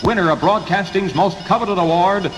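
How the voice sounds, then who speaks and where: A man's voice: high-pitched, moderate pace, faint music behind. A man with an American accent reading a scripted 1960s radio news bulletin in clipped headlines. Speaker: radio newsreader. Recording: 1960s radio aircheck, hissy, long reverb.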